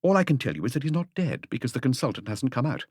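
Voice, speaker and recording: A man's voice: medium pitch, sing-song, fast, a little mellow, husky, dry recording, clean studio sound, pitched above the performer's own voice. A man voicing a stern, disagreeable hospital matron in an audiobook, curt, imperious and withering. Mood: frosty, dismissive. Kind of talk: audiobook character voice.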